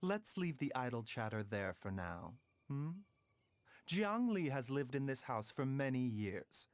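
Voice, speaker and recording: An actor playing a soft-spoken, formal and slyly enigmatic male doctor in an anime video game. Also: medium pitch, big pitch swings, dry recording. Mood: composed. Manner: formal, measured, polite.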